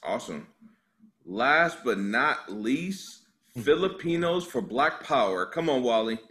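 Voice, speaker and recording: A man's voice: mid-range voice, sing-song, takes it slowly, bright, forceful tone. A man hosting a podcast panel, chatting casually, teasing and asking each guest questions. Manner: laughing, teasing, asks questions. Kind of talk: casual group chat.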